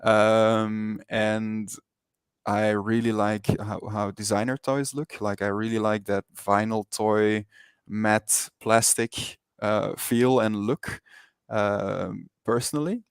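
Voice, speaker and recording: A man from Belgium with Dutch as his first language and a Dutch-speaking Belgian accent, chatting casually as an interview guest in a hesitant, halting way. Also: on the low side, fairly even intonation, smooth, no room sound, studio quality. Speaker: interview guest, digital artist.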